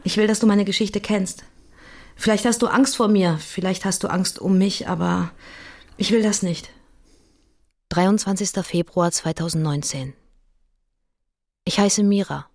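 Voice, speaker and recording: An actress playing an insistent woman in her late thirties, clearly enunciating in a naturalistic radio drama. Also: on the low side, darkish tone. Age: 30s. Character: Mira, tireless, insistent interpreter friend in her late thirties.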